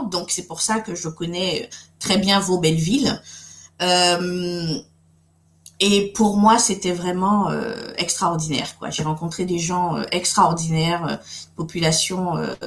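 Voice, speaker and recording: A woman's voice: on the low side, a bit bright, reverberant. A middle-aged woman from Guadeloupe hosting a live webinar, speaking in a friendly way with many fillers and restarts. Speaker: webinar host and coach.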